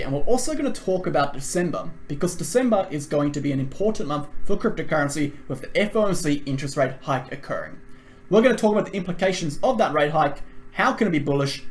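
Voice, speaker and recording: A man presenting a crypto market analysis in a brisk, emphatic, repetitive way, his voice a little hoarse from talking a lot. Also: a little high, slight background noise. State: voice going a bit hoarse.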